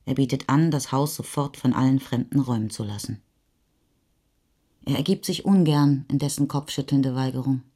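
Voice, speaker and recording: An actress playing the sober, measured narrator of a post-war chronicle in a German radio play. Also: female voice, extremely low pitch, warm tone, husky. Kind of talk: literary reading in a radio play.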